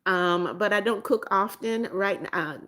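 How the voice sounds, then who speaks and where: A seventy-year-old woman hosting a relaxed livestream, reading viewers' comments aloud and chatting back to each one. Her voice sits at an ordinary middle pitch. She repeats phrases, refers to herself by a motherly nickname, and fills gaps with ums and small restarts. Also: a little slow. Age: 70s. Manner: calls herself Mommy Beth and repeats phrases. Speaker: livestream host. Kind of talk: casual live-stream chat.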